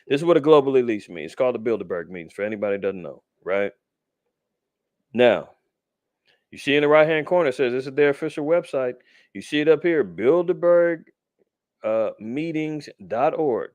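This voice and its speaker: A man teaching scripture informally as a podcast host, with conversational streetwise slang and animated asides. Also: mid-range voice, dry recording.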